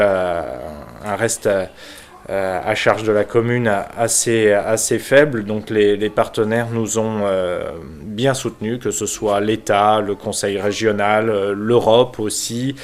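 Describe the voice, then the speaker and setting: Slow, halting low-voiced Frenchman. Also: fairly even intonation. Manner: halting, hesitant, with frequent pauses. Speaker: village mayor and local councillor. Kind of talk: unscripted explanatory talk.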